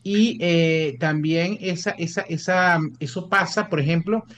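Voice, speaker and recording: A man's voice: a little high, measured pace, fairly bright tone. A Venezuelan-born man, an immigration lawyer, explaining procedures in an interview, often repeating words as he restarts. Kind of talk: interview answers.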